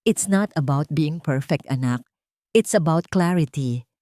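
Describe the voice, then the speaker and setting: A woman with a Filipino accent voicing a gentle, wise mother who speaks tenderly and reassuringly in an audiobook story. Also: deep voice, lively intonation, measured pace, no room sound, clean studio sound.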